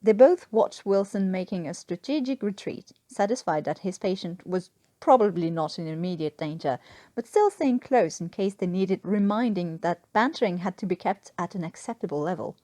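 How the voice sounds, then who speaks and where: Woman reading a story aloud, really lively intonation, kinda mellow tone, dry close sound. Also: lowish voice, moderate pace, no room sound. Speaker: fan fiction narrator. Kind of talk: expressive scripted storytelling narration.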